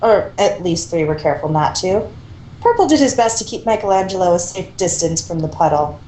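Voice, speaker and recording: A woman's voice: lowish voice, expressive, a little fast, mellow. A woman reading a story aloud in an amateur style, with casual asides. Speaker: co-reader of fan fiction. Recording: echoey room with faint noise.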